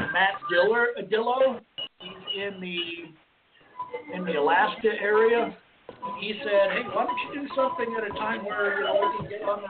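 Hoarse man hosting over a tinny line. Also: high voice. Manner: rambling, with many restarts and fillers. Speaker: host. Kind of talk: casual call-in radio talk. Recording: phone-like lo-fi line.

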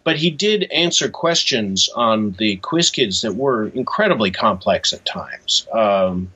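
Dull lo-fi recording, middle aged guy with a lowish bright voice, explaining stuff as a guest. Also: animated, measured pace, breathy edge. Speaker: interview guest, author. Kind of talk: casual interview conversation. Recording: dull, lo-fi sound.

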